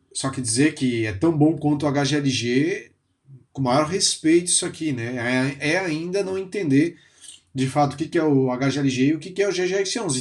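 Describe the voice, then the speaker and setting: A man's voice: on the high side, a little mellow. A man from Brazil with a cold, chatting casually about a fund report as a finance YouTuber and dropping frequent filler tags. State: has a cold.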